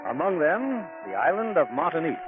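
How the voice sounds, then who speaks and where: Male vintage radio presenter, polished and formal, slightly high pitch, narrow old broadcast sound. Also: very animated, a little fast, over music. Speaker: radio producer and host. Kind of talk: 1940s radio scripted announcing and narration. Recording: husky, thin 1940s radio recording.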